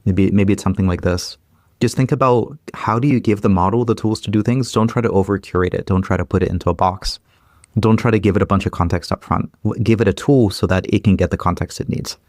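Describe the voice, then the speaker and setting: Interview guest: man in his 30s, fast and loose, low-pitched, dry close sound. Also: a little mellow, no room sound. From Ukraine. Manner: quick, many fillers and repeated restarts. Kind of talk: casual interview chat.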